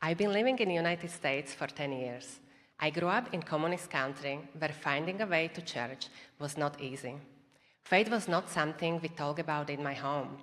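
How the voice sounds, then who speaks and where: A woman's voice: sits low, fairly bright tone. A woman in her thirties from Slovakia with a Slovak accent, earnestly giving a prepared testimony to a church congregation. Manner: earnest, measured, reading a prepared script. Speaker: church member sharing testimony. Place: church auditorium. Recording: long reverb of a large hall.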